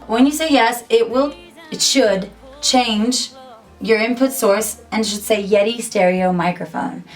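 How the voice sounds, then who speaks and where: Chatty young woman with a bright, high voice. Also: a little slow, soft background music. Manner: chatty and upbeat, lots of "like". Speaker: musician and YouTuber. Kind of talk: casual tutorial to camera. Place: small home music studio, indoors.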